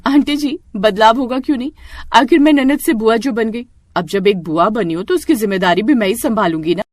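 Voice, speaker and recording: A woman voicing an outspoken, protective young sister-in-law in a cartoon story, brisk, scolding and emphatic. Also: fairly high pitch, natural voice. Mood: firm.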